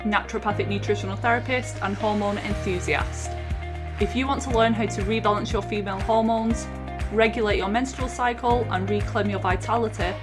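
Woman in her 20s, podcast host, chatty, echoey room, light music. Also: medium pitch. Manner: clear scripted intro, then chatty with fillers. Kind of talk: podcast intro and interview chat.

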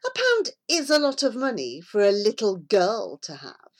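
A woman's voice: mid-range voice, huge pitch swings, normal speed. A woman reading a story aloud, voicing a harsh, spiteful housekeeper. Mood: sly.

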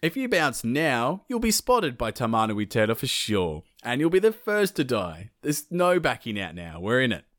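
A man's voice: medium pitch, wildly swooping pitch, moderate pace, hardly any pauses, a bit bright, no room sound, studio quality. A man voicing a bold male demigod who gives commanding, urgent orders to his brothers. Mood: firm.

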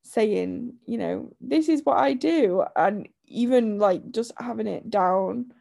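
A woman co-hosting a podcast in casual chat, her voice croaky from a lingering chest illness, talking chattily with frequent laughs and restarts. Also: a little high, takes it slowly, warm tone. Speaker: podcast co-host.

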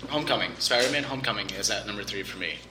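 A young man chatting casually with friends, excitable and enthusiastic, swearing freely. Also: fairly low pitch, rapid-fire, music in the background. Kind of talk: casual chat among friends.

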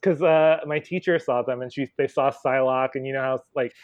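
A man in his thirties, a podcast guest, telling a lively childhood story with laughs. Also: medium pitch, moderate pace, lo-fi sound, crowd noise. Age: 30s. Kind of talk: casual podcast chat.

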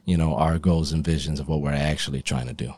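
A man's voice: very deep voice, steady tone, fast, a little mellow, no echo, clean studio sound. A man in casual, slangy conversation, speaking earnestly and emphatically with frequent "you know" asides.

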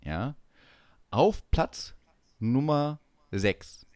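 A man's voice: mid-range voice, expressive, extremely slow, a little mellow, no room sound. A man co-hosting a comedy podcast in casual banter, teasing and announcing things with mock solemnity.

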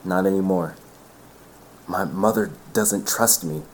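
A man's voice: low voice, takes it slowly, a little hiss or hum. An actor playing an earnest, lovelorn young man in an audio drama, with a pleading manner. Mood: dejected. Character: earnest, lovelorn young man rebelling against his mother.

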